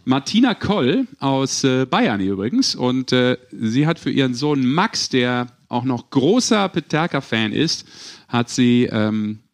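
A man's voice: medium pitch, big pitch swings, slow pace. A man hosting a casual sports podcast, chatting and teasing among colleagues. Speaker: podcast host.

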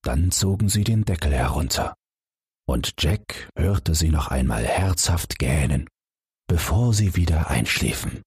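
A man reading a fairy tale as a calm bedtime story, gently addressing young listeners. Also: rumbling low, a little slow, slightly rough, dry recording, clean studio sound. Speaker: audiobook narrator.

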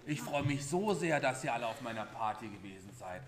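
A man's voice: mid-range voice, lively intonation, brisk, echoey room. A man in his forties, narrator and party host, encouraging a group of children. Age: 40s. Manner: encouraging, leads the crowd.

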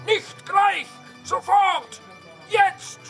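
A furious restaurant boss in a children's audio play. The shouted orders come out extremely high and piercingly bright, each word drawn out slowly and bossily. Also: female voice, steady tone, creaky, faint music behind, full character voice. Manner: shouting, commanding. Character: furious, bossy restaurant chef.

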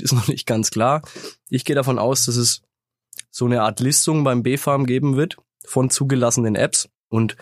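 Man, slight cold, middle pitch, measured explanatory manner, dry studio sound. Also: no room sound. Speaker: sales manager, interview guest. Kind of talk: business interview answers.

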